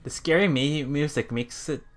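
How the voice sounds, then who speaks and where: A let's play streamer reading a visual novel aloud and adding casual, animated, reactive commentary. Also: medium pitch, moderate pace. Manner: animated, reactive, laughs often. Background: game music.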